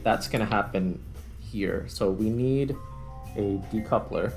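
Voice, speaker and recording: Male gaming host, lowish voice, casual, talks through each step as he goes; light music bed. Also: slow pace. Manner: thinks aloud while working. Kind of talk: casual gameplay commentary. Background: background music.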